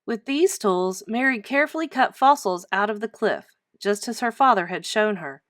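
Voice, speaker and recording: A woman reading a children's storybook aloud like a teacher, with emphatic, expressive storytelling. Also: mid-range voice, normal speed, dry recording, clean studio sound.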